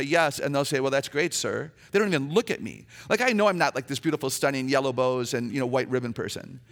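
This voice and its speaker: A middle-aged woman with a naturally low voice, a chatty, humorous and earnest guest speaker giving a sermon with personal stories in a church. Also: creaky.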